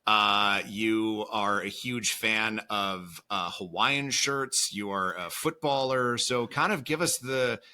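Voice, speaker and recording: A man's voice: on the low side, bright, forceful tone, clear, resonant tone. A man co-hosting a podcast, joking and swearing casually while he introduces a guest. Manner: jokey, profane, fast asides. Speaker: podcast co-host.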